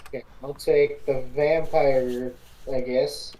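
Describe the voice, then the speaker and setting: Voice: mid-range voice, fairly even intonation, slow, dark tone, poor audio. Laid-back, casually profane chatter. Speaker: co-host choosing parts for a story reading. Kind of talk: casual chat.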